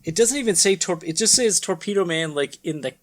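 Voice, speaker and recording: A man hosting a podcast with casual banter, speaking in a halting, rambling way. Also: high-pitched, animated. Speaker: podcast host. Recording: background noise.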